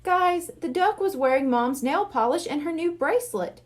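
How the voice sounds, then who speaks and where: A woman's voice: sits high, expressive, moderate pace, voice pushed up. A woman reading a storybook as a worried, exasperated young boy who speaks emphatically and in disbelief. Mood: indignant, incredulous. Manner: emphatic, incredulous.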